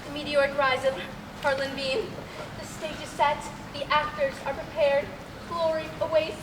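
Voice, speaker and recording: A woman's voice: high voice, little pitch movement, normal speed, natural voice. A young woman playing a pompous, autocratic, vain radio play director in an imperious, theatrical, cutting way. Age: teenager. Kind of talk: amateur stage comedy acting. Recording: echoey hall, far off, noisy.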